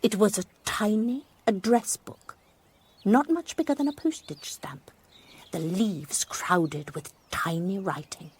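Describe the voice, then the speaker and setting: An actress playing a sharp-eyed, wry former schoolmistress, telling a mystery in a precise, measured way for radio drama. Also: female voice, medium pitch, husky. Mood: wry, reflective. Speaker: narrator and lead character. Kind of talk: first-person dramatised narration, radio drama.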